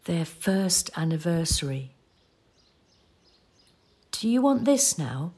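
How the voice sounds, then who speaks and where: An actress playing a patient, sorrowful female companion in an audio play, speaking in a gentle, measured way. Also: lowish voice, sing-song, slight background noise. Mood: gently prompting.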